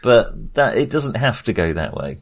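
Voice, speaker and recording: A man co-hosting a film podcast in casual discussion, with a halting, hesitant and amused way of talking. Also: on the low side, big pitch swings.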